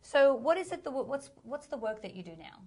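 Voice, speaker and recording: A woman hosts the interview, asking her guest questions and offering steady affirmations. Her voice sits somewhat high. She keeps an encouraging tone throughout. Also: fairly quick, slightly rough. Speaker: host. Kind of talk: interview conversation.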